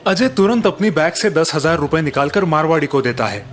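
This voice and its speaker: A man's voice: a little high, animated, brisk, soft background music. A man narrating a scripted story as its storyteller.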